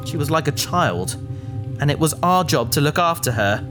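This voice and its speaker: An actress playing an earnest, anxious and emotional sci-fi crew member, speaking emphatically in an audio drama. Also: female voice, sits low, expressive, normal speed, music in the background. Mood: grieving, angry.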